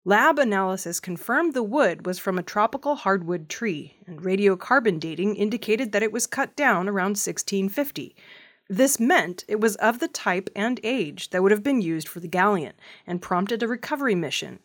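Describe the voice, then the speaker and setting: A woman news reader delivering a scripted audio news report. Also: medium pitch.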